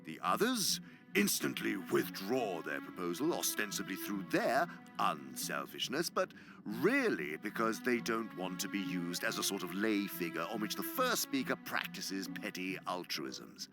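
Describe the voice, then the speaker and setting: A man's voice: deep voice, sing-song, a little slow, creaky, over music, full character voice. A man playing a sly, gloating senior demon who lectures pompously for a radio drama, savoring his words and sometimes dropping to a whisper. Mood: smug relish. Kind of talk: theatrical radio drama monologue.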